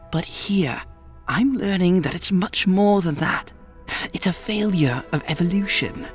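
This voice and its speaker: An actress playing a skeptical, probing idealist in an audio drama, wry and questioning. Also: female voice, low voice. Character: skeptical, probing, idealistic would-be public servant.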